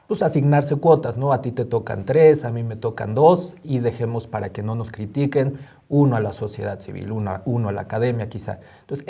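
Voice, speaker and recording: A man with a Mexican accent giving measured, formal, methodical explanations as an interview guest. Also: mid-range voice, dark tone. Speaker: interview guest, civil society transparency advocate.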